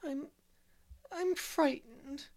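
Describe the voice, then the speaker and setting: A woman's voice: a little high, normal speed, darkish tone, pitched above the performer's own voice, natural voice. A woman voicing a timid little girl who stammers and sobs as she reads an audiobook. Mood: frightened. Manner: sobbing, stammering. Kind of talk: audiobook character voice.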